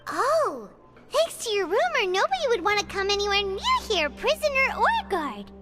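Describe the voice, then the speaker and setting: Game sidekick: excitable little fairy girl, squeaky and jumpy. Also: moderate pace. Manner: bubbly, speaks of herself in third person. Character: tiny floating fairy companion girl, chatty and excitable. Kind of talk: game voice acting. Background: game music.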